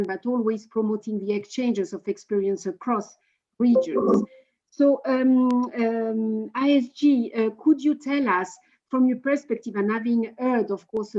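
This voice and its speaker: A woman with a fairly high voice, moderating an online panel. Her speech is dotted with fillers and hesitations as she introduces each guest. The audio is dull and lo-fi, as over a video call. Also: a little mellow.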